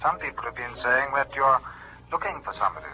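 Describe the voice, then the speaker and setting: A man's voice: medium pitch, big pitch swings, fast, close to an everyday voice. An actor playing a sly, pushy small-time male crook in an old radio drama, casual and insinuating. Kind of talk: 1940s radio drama acting. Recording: tinny old radio, music behind.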